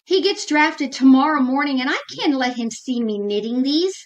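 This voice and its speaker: A woman's voice: high-pitched, animated, normal speed. A woman playing a scatterbrained, easily flustered housewife in an old-time radio sitcom, with a fluttery comic delivery. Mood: cheerful, earnest.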